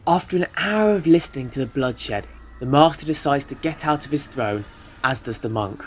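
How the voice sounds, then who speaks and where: A man's voice: mid-range voice, animated, normal speed, soft background music. A man reading scripted narration for an audio drama.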